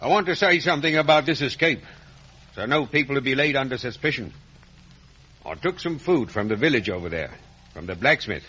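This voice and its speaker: An actor playing a rough, defiant escaped convict with a rasping voice in 1950s radio drama. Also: male voice, medium pitch, animated, fairly quick, sharp tone. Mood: gruff, contrite. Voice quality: gravelly, rasping. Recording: muffled vintage radio broadcast.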